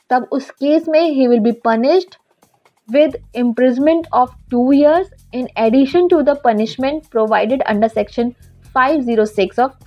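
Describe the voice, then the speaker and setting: A woman with an Indian accent giving an explanatory legal lesson in a deliberate, instructional manner, switching between two languages. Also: high voice. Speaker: legal educator, advocate. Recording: dull lo-fi audio with background music. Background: background music.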